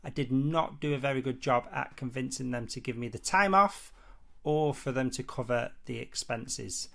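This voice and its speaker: A man's voice: medium pitch, normal speed, vocal fry, a bit bright. A man presenting a roleplay training demo, talking casually and explanatorily with a conciliatory, somewhat hesitant way of phrasing things.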